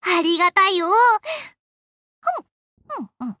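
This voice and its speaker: A woman voicing a cheerful, grateful young warship girl in expressive Japanese anime game lines. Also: extremely high pitch, fast talker, no echo, studio quality, heavily acted. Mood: brash, pleased. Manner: expressive, exclamatory.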